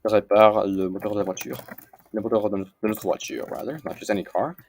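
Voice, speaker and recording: Low-pitched man over noisy typing. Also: fairly even intonation, fast talker, a little mellow. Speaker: host of a language-learning series. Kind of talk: casual commentary while doing lessons on screen. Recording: clearly noisy home recording.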